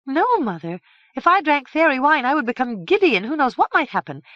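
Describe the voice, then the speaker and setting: A bold young fairy girl who speaks in a high, eager voice and presses her point with insistence, as a woman reader puts her on. Her voice moves through lively swings of pitch, and the recording is dry. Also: fairly quick, no echo, muffled recording. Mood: earnest.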